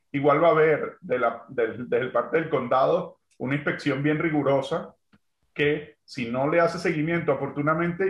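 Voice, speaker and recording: A man from Venezuela, a construction entrepreneur speaking as an interview guest. He speaks thoughtfully and fills pauses with "este" and "digamos, ¿no?". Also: mid-range voice. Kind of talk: remote interview. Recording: roomy, dull lo-fi sound.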